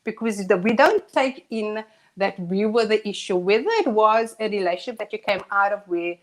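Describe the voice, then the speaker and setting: A woman co-hosting a live talk show who talks earnestly and thinks out loud. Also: mid-range voice, normal speed. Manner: earnest, reflective, thinks aloud. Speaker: co-host. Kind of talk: casual discussion on a live show.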